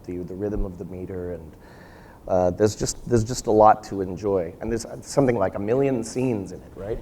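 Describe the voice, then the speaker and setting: A man in a casual panel discussion as a theater practitioner, halting and repeating words as he thinks aloud. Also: on the low side, mellow, a little hiss or hum.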